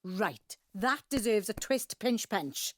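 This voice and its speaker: An actress playing a middle-aged Welsh daughter in an audio drama, a chiding, anxious peacekeeper with a South Wales accent. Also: female voice, medium pitch, animated, takes it slowly, no echo, clean studio sound. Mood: chiding, playful. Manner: chiding, pleading. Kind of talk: naturalistic audio drama acting.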